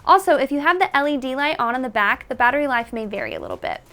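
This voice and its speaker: A woman's voice: high-pitched, lively intonation, fast, pushed and bright. A woman presenting a product tutorial in an instructional, step-by-step manner. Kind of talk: tutorial narration.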